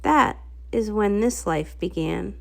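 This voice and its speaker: A woman's voice: medium pitch, takes it slowly, creaky. A woman narrating a comic read-along in the first person, with a theatrical, dramatic storytelling style. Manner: theatrical, expressive.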